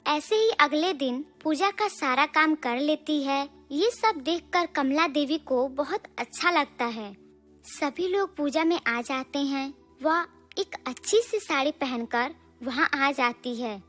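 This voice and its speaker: A woman's voice: sits high, slow, a bit bright, faint music behind. A woman narrating a scripted moral story.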